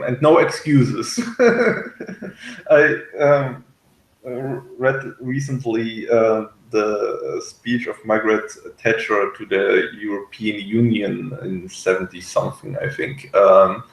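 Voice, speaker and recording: A man reviewing a TV episode in casual, analytical discussion, speaking haltingly as he searches for words. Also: lowish voice, creaky, echoey room, low-quality recording. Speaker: podcast reviewer.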